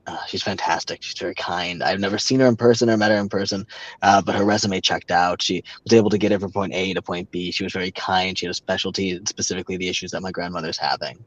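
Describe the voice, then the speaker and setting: Deep-voiced young man, halting delivery. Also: fairly even intonation, dry recording, low-quality recording. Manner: halting, many fillers and restarts. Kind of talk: casual storytelling.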